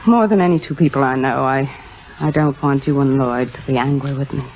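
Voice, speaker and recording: Worldly, low-voiced aging stage diva. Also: female voice. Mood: rueful, self-mocking. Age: middle-aged. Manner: halting, theatrical, self-mocking. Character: Margot, temperamental aging Broadway actress, worldly, insecure, proud. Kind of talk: 1950s radio drama acting. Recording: muffled old radio recording.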